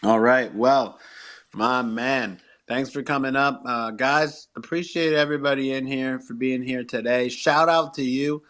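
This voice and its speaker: A man's voice: mid-range voice, measured pace, a bit bright. A man hosts a casual live audio show in a mid-range voice. He is upbeat and encouraging, with plenty of slang and a promotional, rallying way of talking to listeners. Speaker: show host. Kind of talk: upbeat podcast and live audio room hosting.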